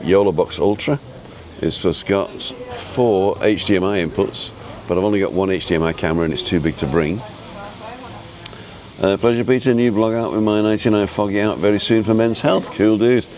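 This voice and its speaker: A man with an easygoing, chatty and halting way of talking, casually rambling as he hosts a live vlog. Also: sits low, darkish tone. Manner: easygoing, chatty, halting as he reads and answers viewer comments. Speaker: live-streaming vlogger and host. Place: outdoors at a restaurant overlooking the road and ocean.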